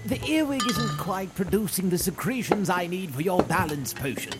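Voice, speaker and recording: A man's voice: high-pitched, animated, normal speed. Guy doing a dotty old-school wizard teacher, theatrical, swoops up and down a lot, music under it. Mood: eccentric. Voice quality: theatrical, scatterbrained, cheerful. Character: eccentric absent-minded male wizard mentor. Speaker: Magus Elgar. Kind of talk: fantasy audio drama acting. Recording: music behind voice.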